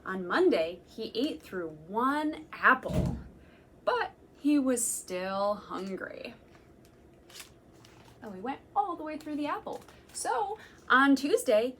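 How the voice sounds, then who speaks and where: A young woman, a children's librarian, reading a picture book aloud to children in a gentle, slow, sing-song way and counting along. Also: fairly high pitch, slight background noise. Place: library room.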